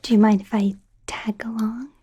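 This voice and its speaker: A woman voicing a young werewolf medical student in an ASMR roleplay, in a soft, breathy whisper with a hesitant, rambling, self-conscious manner. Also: a little high, level tone, normal speed. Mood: hopeful, resigned. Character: young female werewolf medical student, awkward, eager, kind.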